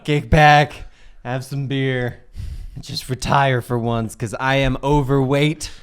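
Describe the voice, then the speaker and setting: A man's voice: steady tone, clear, resonant tone. Man playing weary, deflated, drunken superhero. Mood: weary, self-pitying. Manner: slow, low, deflated. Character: weary, drunk, self-pitying overweight male superhero.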